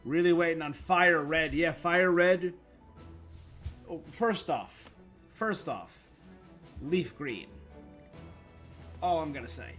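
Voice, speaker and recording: Guy livestreaming games, kinda high voice, casual rambly chat with opinions, soft music in back. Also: lively intonation, takes it slowly, long pauses. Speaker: game streamer. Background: game music.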